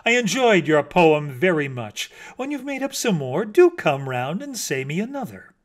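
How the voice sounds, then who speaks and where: A man's voice: a little high, big pitch swings, normal speed, pitched above the performer's own voice. A man voicing a male mockingbird in a storybook, a cordial, pedantic critic who lectures in an effusive, condescendingly kind way.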